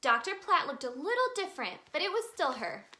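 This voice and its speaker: A woman reading a children's picture book aloud as a storyteller, in an expressive, sing-song manner aimed at young children. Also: high voice, fairly quick. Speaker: storyteller in character as the Tooth Fairy.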